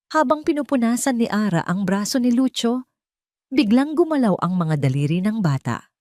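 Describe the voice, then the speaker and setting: A woman narrating a romance audio drama from a script in an expressive, theatrical way. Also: lowish voice, no room sound, clean studio sound. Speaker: story narrator.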